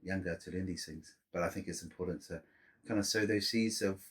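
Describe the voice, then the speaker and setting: A man's voice: low-pitched, fairly even intonation, brisk, husky. A middle-aged man from Samoa, a podcast guest chatting casually and rambling with many restarts. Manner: rambling, restarts and fillers, warm laughter-prone storytelling. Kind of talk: casual interview chat.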